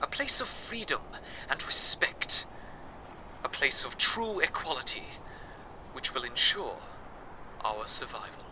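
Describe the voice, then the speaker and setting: A man's voice: medium pitch, very animated, unhurried, pauses often, sharp tone, slight background noise, full character voice, rough recording. A man playing a cheerful, loyal radio broadcaster of a dystopian city, speaking in a theatrical, grandly formal way like old-time radio. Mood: earnest, reverent. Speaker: radio show host. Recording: music playing behind the voice.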